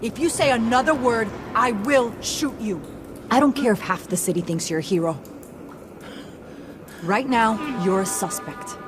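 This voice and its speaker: A woman's voice: on the high side, measured pace, over music, natural voice. A woman playing a tough, hostile police detective in a video game, curt and commanding. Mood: stern, hostile.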